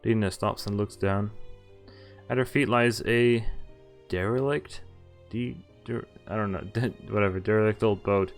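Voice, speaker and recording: Low-voiced man reading a game aloud. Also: steady tone, unhurried, faint music behind. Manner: stumbles over words and self-corrects. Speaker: game streamer. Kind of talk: reading visual novel text aloud with casual asides.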